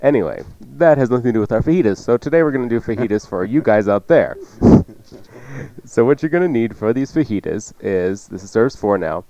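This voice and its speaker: A man's voice: medium pitch, animated, a little fast, warm tone, muffled recording. A man hosting a cooking show, chatting through recipe instructions off the cuff with joking asides. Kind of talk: casual unscripted radio cooking instruction.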